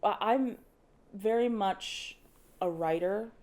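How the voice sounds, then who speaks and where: A woman's voice: medium pitch, takes it slowly, a little mellow. A young woman, an opera director, talking casually in a podcast interview, thoughtful and often restarting her sentences with light laughs. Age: young adult.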